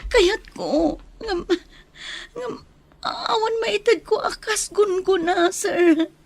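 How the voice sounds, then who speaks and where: High-voiced frightened Filipino captive maid. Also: female voice, slow, exaggerated voice. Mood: fearful. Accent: Ilocano Filipino. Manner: meek, pleading. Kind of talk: Filipino radio drama acting.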